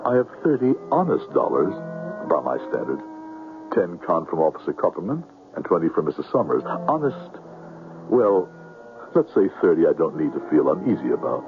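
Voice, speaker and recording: An actor playing a smooth-talking swindler posing as a reverend, with pompous, florid phrasing in a 1970s radio drama. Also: male voice, deep voice, sing-song, moderate pace, over music. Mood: confiding, uneasy. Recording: noisy, narrow-band 1970s radio recording.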